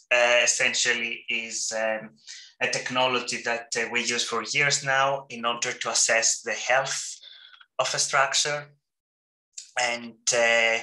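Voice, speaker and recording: Greek-accented man, bright, filler-heavy lecture. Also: medium pitch, reverberant, poor audio. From Greece. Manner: friendly, joking, many ums and uhs. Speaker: seminar speaker. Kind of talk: academic talk over video call. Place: home room, indoors. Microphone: video call.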